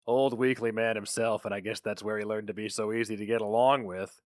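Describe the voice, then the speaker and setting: A man's voice: fairly low pitch, fairly even intonation, fairly quick, dry recording, studio quality, lightly acted. Man playing a chummy, breezy company recruiter. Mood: chummy, coaxing. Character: chummy, chuckling company recruiter Dilling, smoothly persuasive.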